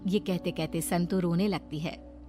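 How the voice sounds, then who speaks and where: A woman's voice: on the low side, steady tone, measured pace, over music. A woman reading a scripted moral bedtime story as the narrator.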